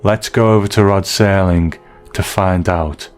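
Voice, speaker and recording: A man's voice: sits low, steady tone, unhurried, darkish tone. A man hosting a podcast, talking conversationally and appreciatively to his listeners. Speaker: podcast host. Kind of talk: podcast listener feedback and sign-off.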